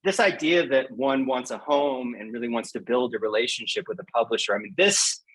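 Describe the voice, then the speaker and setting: A man's voice: medium pitch, fairly quick, fairly bright tone, rough recording. A man speaking as a poet guest in a casual podcast interview, frank and emphatic, repeating phrases for stress.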